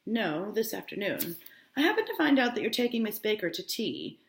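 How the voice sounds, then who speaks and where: Woman voicing a genteel, self-assured young gentleman. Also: mid-range voice, sing-song, moderate pace, creaky, reverberant, voice pushed up. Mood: confiding, self-assured.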